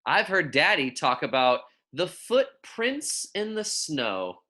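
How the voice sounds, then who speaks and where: Bright-voiced teacher reading aloud. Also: medium pitch, sing-song, slow. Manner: friendly, informal, encouraging. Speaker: elementary school teacher. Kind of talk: read-aloud lesson for young children.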